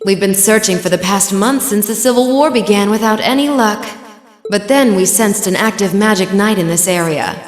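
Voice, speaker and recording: A woman's voice: mid-range voice, normal speed, natural voice. A woman voicing an elegant, coy villainess sorceress in a video game, sultry and condescending as she teases. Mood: smug, composed. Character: elegant, teasing villainess sorceress speaking through her bird familiar. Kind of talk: English video game voice acting. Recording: roomy long reverb, music underneath. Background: music.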